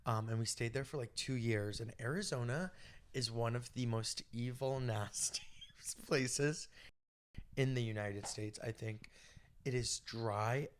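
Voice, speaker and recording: A chatty young man from Iraq, gabbing with a friend on a podcast. He talks in an animated, gossipy rush, sprinkling "like" everywhere, at a medium pitch. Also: measured pace, a little hiss or hum. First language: Arabic. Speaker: podcast guest. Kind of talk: casual chat.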